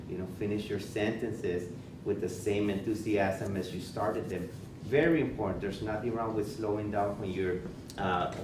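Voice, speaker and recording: A man with a Spanish accent, a school principal giving interview advice in an informal workshop, repeating words and restarting often. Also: lowish voice. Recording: echoey room, far from mic, noisy.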